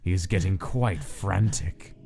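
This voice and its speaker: A man voicing a cold, clinical scientist who dictates experiment notes in a measured, detached, deliberate way for a comic dub. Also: low voice, vocal fry, background noise, full character voice. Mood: wary, clinical. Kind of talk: scripted comic dub, log-style narration. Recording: voice under prominent music.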